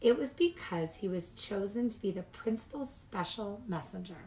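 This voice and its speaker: A woman reading picture books aloud to young children as a storytime host, with animated delivery and chatty asides. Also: mid-range voice, moderate pace, slight background noise, low-quality recording.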